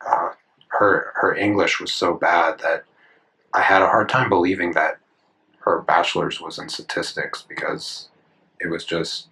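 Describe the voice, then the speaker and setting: A man in his twenties talks as he sketches, his voice low but bright and forward. He rambles, restarts and corrects himself as he works through his thoughts. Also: gravelly, low-quality recording. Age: 20s. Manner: rambling, self-correcting, thinking aloud. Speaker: art channel host. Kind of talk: casual talk while drawing. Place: indoors at a desk, drawing in a sketchbook.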